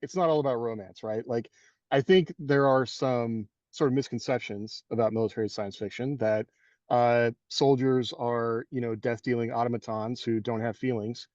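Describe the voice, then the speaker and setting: A man's voice: mid-range voice, steady tone, moderate pace, darkish tone, no echo, lo-fi sound. A man hosting a podcast, setting up the topic in loose, rambling casual talk. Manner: loose, rambling, lots of "like" and "you know". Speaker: podcast host. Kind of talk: casual chat.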